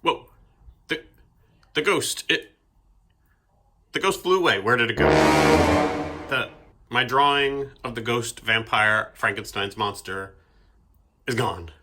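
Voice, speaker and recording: A man's voice: medium pitch, slow pace, long pauses, over loud music. A man leading a children's storytime, chatting and asking the kids questions while he draws and explains things. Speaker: author and illustrator leading a kids' storytime. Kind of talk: playful children's storytime talk.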